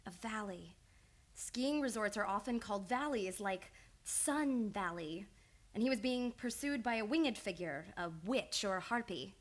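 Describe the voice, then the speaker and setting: A woman's voice: medium pitch, expressive, a little slow. A woman playing an earnest, insistent psychoanalyst in old time radio drama, urgent and probing.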